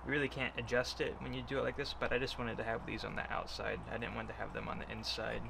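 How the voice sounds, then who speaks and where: A man's voice: medium pitch, flat delivery, quick pace, creaky, very noisy. A man giving casual, offhand hands-on how-to commentary, half muttering to himself as he works. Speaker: DIY vlogger installing a CB radio.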